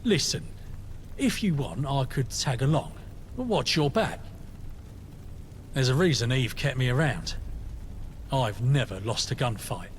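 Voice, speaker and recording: An actor with a British accent voicing a humbled, wary, loyal gunfighter in a dramatic video game. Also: male voice, medium pitch, animated, unhurried, pauses often, a little hiss or hum, natural voice. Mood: guarded, friendly.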